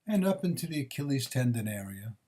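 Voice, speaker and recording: A man with a mid-pitched voice guides a body scan meditation. He gives gentle instructions in short, measured phrases. Pauses separate one phrase from the next, in the manner of a mindfulness teacher. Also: very animated, slightly rough.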